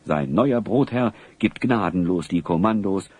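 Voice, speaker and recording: A man's voice: low voice, lively intonation, a little slow, darkish tone, slightly rough, no echo. A man narrating an audio drama in a scripted storytelling style.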